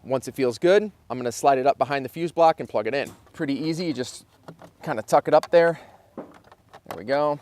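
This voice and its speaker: A man's voice: mid-range voice, fairly quick, darkish tone. A man giving a casual how-to, thinking aloud informally as he works with his hands. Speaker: host of a car installation how-to channel. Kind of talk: casual hands-on tutorial, talking through the work.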